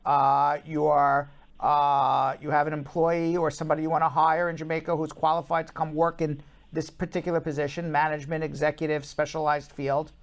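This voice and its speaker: A man's voice: on the high side, flat delivery, fairly quick, few pauses. A man hosting a live call-in show, giving legal advice to callers in a blunt, emphatic, repetitive way.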